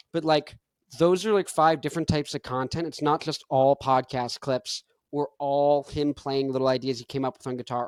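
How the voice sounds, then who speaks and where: A young man hosting his own podcast in an energetic, rambling monologue full of "like". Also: fairly high pitch, dry recording, clean studio sound. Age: young adult. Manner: rambling, energetic, heavy use of "like". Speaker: podcast host.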